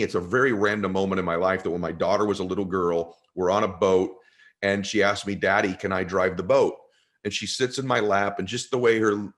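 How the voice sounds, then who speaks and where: A middle-aged man with a deep voice hosting a podcast interview, talking quickly and emphatically and often turning to the listeners. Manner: fast-talking, emphatic, addresses listeners as "guys". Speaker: podcast host. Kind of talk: conversational interview.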